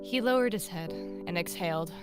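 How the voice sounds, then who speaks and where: Woman narrating over clear music. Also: on the low side, moderate pace. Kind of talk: third-person story narration. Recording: music behind the voice.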